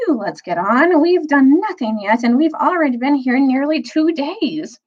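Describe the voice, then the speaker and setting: Woman reading as Lucy, eager high-pitched girl. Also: animated, normal speed, highs cut off. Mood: impatient. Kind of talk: children's storybook reading.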